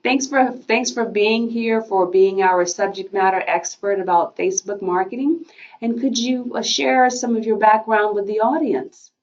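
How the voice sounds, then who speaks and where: A woman's voice: mid-range voice, moderate pace. Polite woman host introducing a guest, slightly dull web-call sound. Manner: polite, brief listener backchannels. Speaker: webinar host. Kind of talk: formal introduction. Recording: slightly dull webinar audio.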